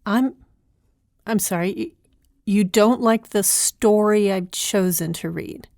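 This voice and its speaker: Slow-paced, roomy-sounding woman podcast host. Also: medium pitch, creaky, a little mellow, clean studio sound. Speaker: podcast creator and host, playing herself. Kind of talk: informal podcast talk in an audio drama.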